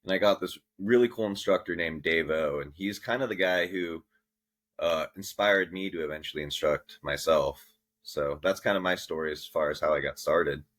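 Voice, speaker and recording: A man's voice: deep voice, moderate pace. A young man from the Pacific Northwest, a podcast guest, chatting casually and rambling with lots of fillers. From Vancouver, Washington. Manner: relaxed, rambling with many fillers. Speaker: podcast guest, snowboard instructor. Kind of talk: casual chat.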